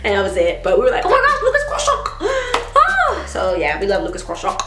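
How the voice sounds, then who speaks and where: A woman in her twenties from Texas, chatting casually as she answers viewer questions, giggling often and sometimes trailing into a soft mumble. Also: sits high, huge pitch swings, brisk, heavy background noise. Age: 20s. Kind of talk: casual chat. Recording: roomy echo with faint music.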